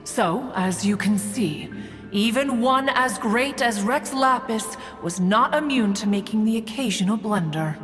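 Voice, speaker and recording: A woman's voice: on the high side, expressive, a little slow, a bit bright, breathy edge, faint music behind, exaggerated voice. A woman playing a proud, austere ancient immortal in a game, speaking in formal, archaic phrases as she tells stories. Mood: wistful, stately. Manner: formal, archaic, refers to herself as "one". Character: proud, austere ancient female immortal recounting old memories. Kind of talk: theatrical game story narration.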